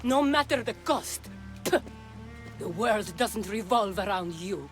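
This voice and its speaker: A woman's voice: mid-range voice, expressive, a little slow, soft background music, natural voice. An actress playing a grieving, bitter young woman in a video game, accusing and anguished. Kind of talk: dramatic video game acting.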